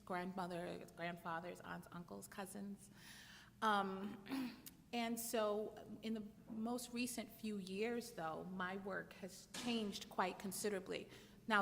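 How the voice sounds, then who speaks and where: A woman lawyer giving measured, earnest remarks on a conference panel, with frequent fillers. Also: medium pitch, a bit bright. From United States. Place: conference hall. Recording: long reverb with faint noise in a hall.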